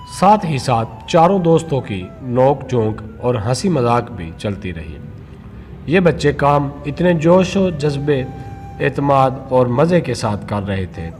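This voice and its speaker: A man reading a scripted moral story for children as a storyteller, in a measured read-aloud manner. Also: mid-range voice, a little mellow.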